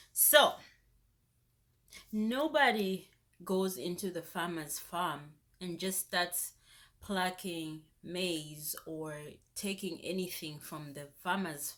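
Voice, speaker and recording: A young woman talks straight to camera in a slow, deliberate rant. Her voice sits a little low but has a bright, pointed edge, and it jumps up sharply whenever she gets exasperated. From Zambia. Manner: animated, indignant, rises sharply when exasperated. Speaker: vlogger. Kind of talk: casual ranting vlog.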